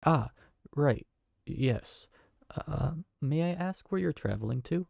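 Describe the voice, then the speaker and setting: A man's voice: a little high, expressive, takes it slowly, creaky, darkish tone, no room sound, lo-fi sound, full character voice. A man playing a young man who just inherited a magical tavern, speaking in a polite, earnest, slightly flustered way. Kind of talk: fantasy audio drama acting.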